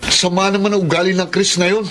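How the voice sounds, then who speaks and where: A man's voice: high-pitched, level tone, quick pace, loud music behind the voice, natural voice, rough recording. A man with a Filipino accent playing a blunt, indignant younger brother in an audio drama.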